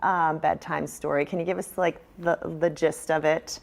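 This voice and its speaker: A woman with a somewhat low voice hosts a morning television interview. Her delivery is conversational and affirming, encouraging the guest along. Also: fairly even intonation, moderate pace, creaky. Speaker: TV morning show host, interviewer.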